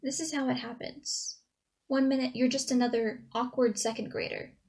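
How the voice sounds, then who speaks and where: A woman performing a dramatic theater monologue as a traumatized young woman, with halting, emotional delivery and dramatic pauses. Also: sits high, fairly even intonation, creaky, a little mellow. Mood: haunted, subdued. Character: traumatized young woman recounting a childhood dog attack.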